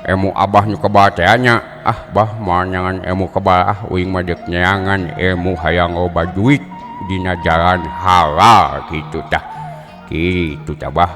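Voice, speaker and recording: A man's voice: low-pitched, level tone, a little slow. Man doing a jittery young villager. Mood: joking. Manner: nervous, stammering, joking. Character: frightened, plain-spoken young village man. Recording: folk music playing behind.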